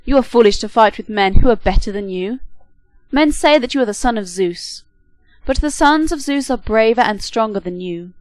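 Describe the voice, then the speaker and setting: A woman reading the part of a boastful male Greek warrior who taunts his foe in a loud voice, in a storybook reading. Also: fairly high pitch, expressive, normal speed, dry recording, close to an everyday voice, poor audio. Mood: taunting.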